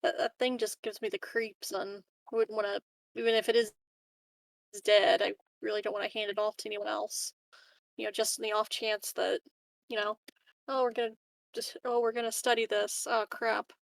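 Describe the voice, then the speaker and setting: A woman playing a tabletop roleplaying game, improvising casual dialogue in a halting, self-correcting way. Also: mid-range voice, little pitch movement, vocal fry, dry recording, low-quality recording.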